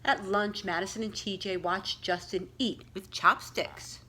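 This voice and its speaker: A woman's voice: mid-range voice, fairly even intonation, unhurried, sharp tone, husky, low-quality recording. Woman reading a picture book aloud. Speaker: school librarian reading aloud to children. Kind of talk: expressive picture-book read-aloud with questions to young listeners.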